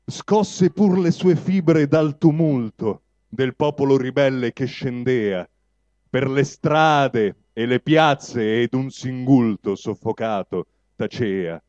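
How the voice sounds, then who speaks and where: Male poetry reader, deliberate and slow, dark soft tone, dry but dull live sound. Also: mid-range voice, no echo. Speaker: poetry reader at a public reading event. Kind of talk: spoken introduction and declaimed recitation of poetry. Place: live reading at a public venue. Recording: dull, lo-fi live event recording.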